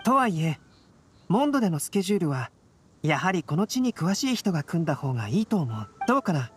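A woman voicing a courteous young male alchemist in an anime video game, calm, measured and gentle. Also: on the low side, faint music behind.

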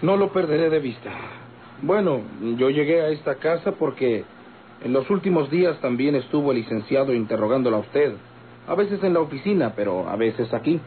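A man's voice: mid-range voice, expressive, a little fast, a little hiss or hum, natural voice. A man playing a formal, courteous but insistent Mexican police commander in an old-time radio drama. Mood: businesslike. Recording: tinny old radio recording with babble.

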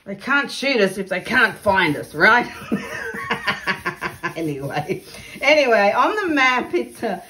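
A woman's voice: fairly high pitch, big pitch swings, slow, long pauses, pushed and bright. Australian woman, artist host, casual and effusive, home-studio demo. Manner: chatty, laughing, exclaims over her work. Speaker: mixed media artist. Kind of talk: casual craft demo chat. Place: home art studio, indoors.

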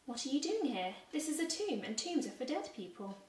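A woman's voice: sits high, big pitch swings, fairly quick, a little mellow, voice pushed up. A woman voicing a joyful male angel in a storybook reading, heard from a distant mic in a roomy space.

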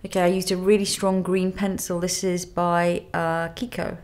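Nasal woman with a cold, calm tutorial. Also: fairly low pitch, normal speed. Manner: calm step-by-step instruction while applying makeup. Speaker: makeup artist. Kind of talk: makeup tutorial. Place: indoor studio with plain grey backdrop.

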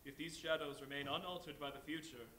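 An actor playing a grave Christmas spirit in a live stage reading, declaiming in measured tones. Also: male voice, a little high, fairly even intonation, sharp tone, a little hiss or hum. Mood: rebuking. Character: stern male Christmas spirit, solemn and rebuking.

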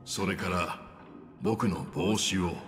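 An actor playing a gentle, polite old traveler in a video game, speaking in a measured, reflective way. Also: male voice, low-pitched. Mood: solemn, gentle. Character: gentle, polite old male trailblazer looking back on life. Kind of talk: Japanese game voice acting. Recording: long reverb, clear music behind.

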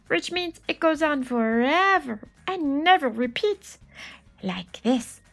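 A woman's voice: sits high, fairly bright tone, exaggerated voice. A woman voicing a friendly, enthusiastic space alien who patiently explains math in a picture book read-aloud.

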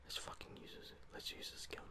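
A young man whispering casual, slangy ASMR commentary over video game play, close to the mic. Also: fairly quick. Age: young adult. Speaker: gaming streamer.

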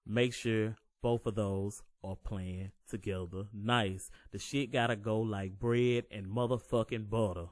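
Casting: man with a deep voice, relaxed slangy tutorial host, swears casually, dry close mic. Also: a little slow, hardly any pauses, no room sound. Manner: laid-back, profane, reassuring. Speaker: home recording tutorial host.

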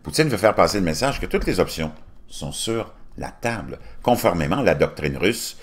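Québécois guy in his 50s, low deep voice, big swings in pitch, reads news off his headset and rants. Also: very animated, normal speed. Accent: Québécois French. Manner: swings from loud emphatic bursts to low muttered asides. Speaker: commentary channel host. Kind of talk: reading and commenting news articles. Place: home studio with virtual backdrop.

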